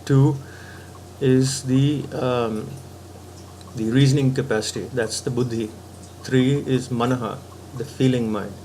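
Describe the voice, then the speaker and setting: A man's voice: mid-range voice, fairly even intonation, unhurried, warm tone, slight background noise. A man giving a patient philosophical talk, reasoning step by step and often checking in with the listener. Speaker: spiritual teacher.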